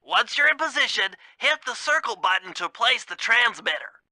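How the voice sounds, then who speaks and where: Bright, piercing, brainy gadget nerd. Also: male voice, very high-pitched, lively intonation, moderate pace, no echo, full character voice. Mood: earnest, instructive. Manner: precise, formal, instructive. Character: brainy, fussy male gadget expert of a thief gang. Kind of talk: video game mission dialogue.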